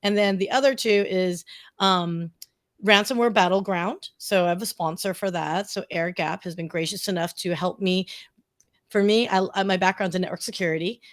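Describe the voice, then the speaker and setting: A woman's voice: lowish voice, fairly even intonation, normal speed, no echo, clean studio sound. A woman podcast guest talking casually and effusively, informal and self-deprecating, wandering off on tangents. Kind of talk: casual, chatty interview answers.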